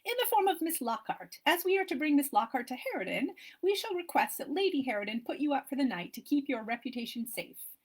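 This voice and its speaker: A woman reading the lines of a composed, formal Regency gentleman, slightly lower than her own voice. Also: sing-song, brisk. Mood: measured. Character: commanding Regency gentleman, low and assured.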